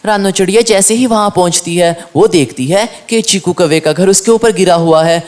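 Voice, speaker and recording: Mid-pitched storybook narrator of unclear sex. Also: normal speed. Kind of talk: scripted children's story narration.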